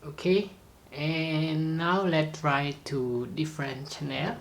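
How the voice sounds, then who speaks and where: A tutorial presenter speaks slowly at a medium pitch, in short, halting phrases with non-native grammar. The delivery is a step-by-step product demonstration, with some steady noise behind the voice.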